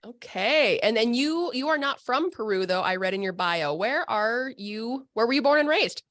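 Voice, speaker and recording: A woman's voice: a little high, animated, moderate pace, a bit bright, dry recording, clean studio sound. A woman hosting a podcast, talking in a bubbly, effusive way, full of exclamations. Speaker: podcast host. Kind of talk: casual interview chat. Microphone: video call microphone.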